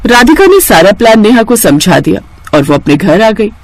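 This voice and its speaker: A woman's voice: medium pitch, sing-song, moderate pace, no room sound. A woman storyteller reading a scripted moral tale.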